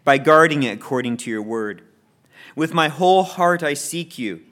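A man's voice: a little high, lively intonation, moderate pace, a bit bright. A man leading a church Bible study class, reading scripture aloud and inviting people to share, often repeating words as he restarts.

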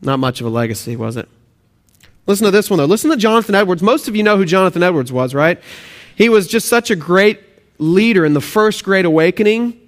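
Young texan guy preaching in church, kinda high voice, lots of uhs, earnest. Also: expressive, fairly quick. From Texas and Oklahoma. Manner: earnest, many "uh" fillers, rising emphasis on key points. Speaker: student pastor. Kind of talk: sermon with prayer. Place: church sanctuary.

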